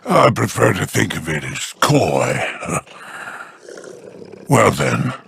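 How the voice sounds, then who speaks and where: An actor playing a sly, scheming rogue in a video game, with a gravelly voice and a smug, teasing manner. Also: male voice, lowish voice, very animated, slow, a bit bright, dry recording, exaggerated voice.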